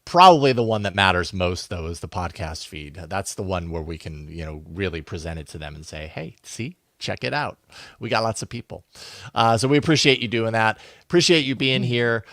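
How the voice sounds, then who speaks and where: A man's voice: on the low side, animated, fairly quick, a bit bright, no echo. Casual male podcast host, dry close sound. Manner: informal, conversational. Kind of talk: casual chat, live show hosting.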